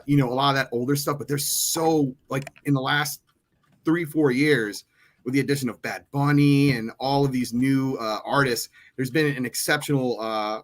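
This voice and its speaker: Halting man with a mid-pitched voice. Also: fairly bright tone. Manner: halting, meandering. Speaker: music curator. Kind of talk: casual panel discussion.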